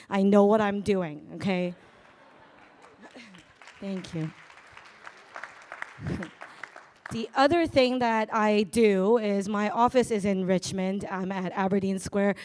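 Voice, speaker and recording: A woman with a raspy voice, loud and brash with self-mocking humor, speaking on stage into a handheld mic as a notary at a business event. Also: medium pitch. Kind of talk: live stage sponsor talk.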